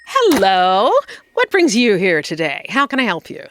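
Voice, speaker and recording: A woman playing a brisk, unflappable wedding planner in a comedy sketch, with polished sales patter. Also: mid-range voice, wildly swooping pitch, a bit bright, a little hiss or hum. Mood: welcoming.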